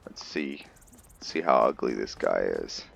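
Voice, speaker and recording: Male gamer, low register, slow delivery, casual play-by-play with muttered, halting asides. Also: very animated, creaky, husky. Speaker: gamer hosting a roleplay playthrough. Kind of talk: casual game commentary, reading in-game text aloud.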